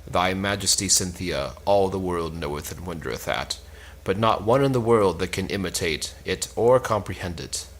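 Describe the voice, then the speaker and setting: A man's voice: sits low, normal speed, hardly any pauses. A man playing a lovesick, melancholy young dreamer, declaiming a brooding soliloquy in a cold read of a stage play. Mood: weary melancholy.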